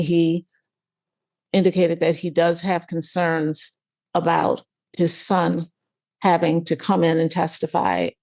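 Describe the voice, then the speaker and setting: A woman speaks as a defense attorney in court. Her voice is fairly low with noticeable vocal fry. She argues haltingly, repeating words, with a dry sound. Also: darkish tone, dry recording. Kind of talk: courtroom argument.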